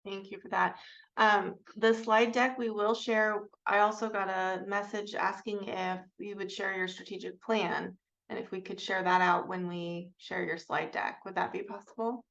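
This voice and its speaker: Creaky-voiced woman moderating, slightly dull audio. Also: medium pitch, flat delivery, normal speed, a bit bright. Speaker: session moderator. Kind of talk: moderating audience Q&A, reading out questions.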